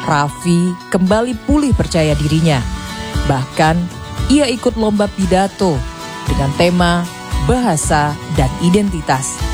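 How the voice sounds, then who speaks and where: A woman's voice: lowish voice, lively intonation, unhurried, long pauses. A woman reading scripted narration for a short drama. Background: loud guitar music.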